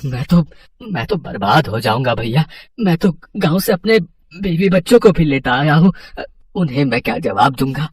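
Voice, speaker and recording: A woman's voice: deep voice, expressive, normal speed, darkish tone, slightly rough, no echo, pitched below the performer's own voice. A woman voicing a simple, trusting male street vendor and family man in a moral story, earnest and plain-spoken. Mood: pleading, upset.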